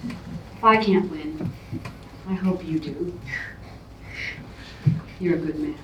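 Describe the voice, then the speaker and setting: A woman playing a gentle, grateful contestant in a staged musical comedy reading. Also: sits low, slow pace. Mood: warm, grateful. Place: indoor stage, far from mic. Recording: dull distant mic, echoey noisy room.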